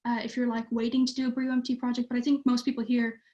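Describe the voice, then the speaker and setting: A woman's voice: a little high, little pitch movement, racing, dark tone, muffled recording. A teenage girl from Ireland, chatting casually on a livestream and using many fillers. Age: teens. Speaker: youth theatre participant.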